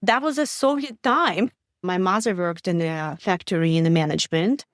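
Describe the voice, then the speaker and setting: A woman's voice: on the low side, normal speed, no echo, studio quality. A middle-aged woman from Ukraine with a Ukrainian accent and Russian as her first language, answering interview questions in an earnest way with small stumbles and non-native grammar. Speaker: guest, refugee aid director.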